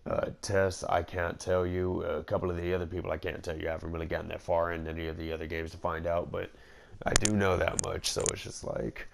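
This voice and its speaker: A man's voice: low voice, flat delivery, fairly quick, gravelly, a little hiss or hum. A man giving casual, rambling, slangy gameplay commentary. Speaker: gaming commentator. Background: game music.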